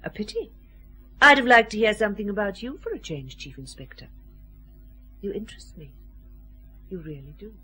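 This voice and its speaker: An actress playing a worldly, sardonic, flirtatious woman company director in a radio drama, with a husky voice. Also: mid-range voice, animated, moderate pace, long pauses, pushed and bright, soft background music. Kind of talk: naturalistic radio drama acting.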